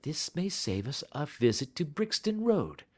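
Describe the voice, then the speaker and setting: A man reading aloud and voicing a calm, clever Victorian detective who speaks blandly and courteously. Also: a little high, measured pace, pitched above the performer's own voice. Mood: assured.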